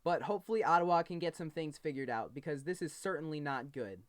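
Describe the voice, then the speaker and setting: A man's voice: a little high, animated, fast talker. A man hosting an informal news explainer, telling the story in a casual, simplified way. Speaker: host of a homemade news explainer. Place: bedroom at a computer desk.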